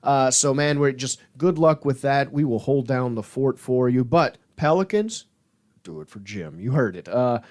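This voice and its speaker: A man's voice: medium pitch, animated, normal speed, darkish tone. A man co-hosting a sports podcast with casual, playful, mock-dramatic banter. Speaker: podcast co-host.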